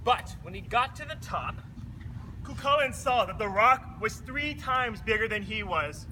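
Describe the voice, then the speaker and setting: A man's voice: high-pitched, lively intonation, measured pace, pushed and bright, slight background noise. A man narrating a tale in a theatrical, scripted storytelling style.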